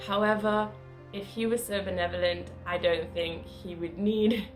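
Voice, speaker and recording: A woman narrating her travel vlog in a casual, chatty way, with small laughs and fillers. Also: mid-range voice. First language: not Croatian. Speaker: travel vlogger.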